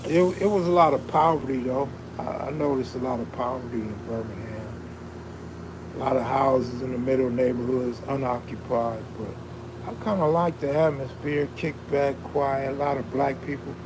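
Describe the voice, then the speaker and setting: A man's voice: mid-range voice, steady tone, moderate pace, noisy background. A man hosting a casual live stream, chatting in a laid-back, slangy, streetwise way with a soothing, soulful voice and reading comments aloud.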